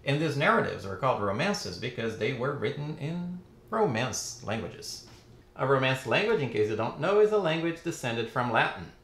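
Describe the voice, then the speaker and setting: A man in his forties, a native Portuguese speaker, giving a lively video lecture on literature from his home study. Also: mid-range voice, moderate pace, echoey room. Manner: lively, expressive, gestures with hands. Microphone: camera framing, chest-up.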